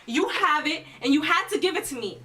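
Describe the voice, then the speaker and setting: A woman's voice: high-pitched, lively intonation, fairly quick. An actress playing a betrayed, accusing girlfriend in a heated film drama, recorded at a distance. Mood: furious, accusing. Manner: forceful, accusatory. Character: furious, betrayed young woman girlfriend, hotly accusing. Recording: distant mic with background noise.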